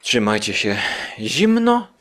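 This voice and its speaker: Swobodnie gawędzący podcaster o średnim głosie. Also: male voice, mid-range voice, sing-song, slow pace, background noise. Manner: rambling, hesitant, colloquial. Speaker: podcast host. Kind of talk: casual podcast chat.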